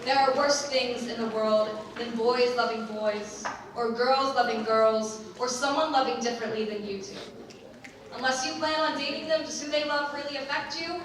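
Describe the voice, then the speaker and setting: A young woman performing slam poetry live in an impassioned, deliberate, emphatic way with dramatic pauses, recorded from a distance in an echoing hall. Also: on the high side, fairly even intonation. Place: large echoing room, live stage performance. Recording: echoey hall, distant mic, faint noise.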